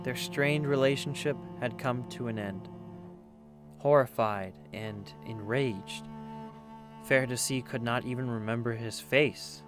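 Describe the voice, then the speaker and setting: A man narrates in a scripted documentary storytelling style, with a mid-pitched voice. His delivery is dramatic and literary, and music plays underneath. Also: unhurried.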